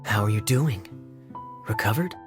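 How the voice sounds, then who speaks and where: A woman's voice: extremely low pitch, lively intonation, moderate pace, over music. A woman voicing a cool, easygoing young man in an anime game, with a wry, teasing manner. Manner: wry, casual.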